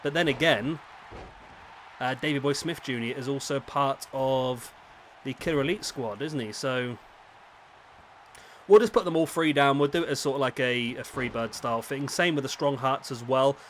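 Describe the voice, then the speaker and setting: Slightly raspy man commentating a game. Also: medium pitch, normal speed, a little hiss or hum. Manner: chatty, thinks aloud and corrects himself. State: rough, slightly raspy throat. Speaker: host commentating a simulated wrestling league. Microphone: headset microphone.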